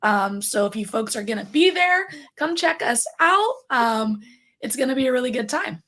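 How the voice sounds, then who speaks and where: A woman with a raspy voice, hosting a podcast live stream in a bubbly, chatty way with many fillers. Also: fairly high pitch, expressive, normal speed, sharp tone. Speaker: podcast host. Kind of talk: casual live-stream chat.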